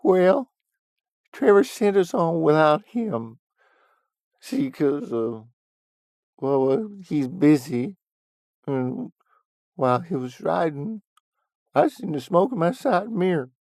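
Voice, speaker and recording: A shrill, squawky old ranch hand, portly and easily riled. He goes high and loud and rambles indignantly, sounding a touch distant from the mic. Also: very animated, slow pace, pauses often, no room sound, full character voice. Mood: flustered. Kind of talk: audiobook character voice.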